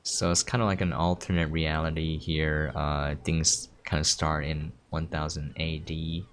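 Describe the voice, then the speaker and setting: A man's voice: a bass voice, little pitch movement, unhurried. A man giving casual commentary as he hosts a gameplay preview. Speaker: game preview host. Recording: music behind the voice. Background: game music.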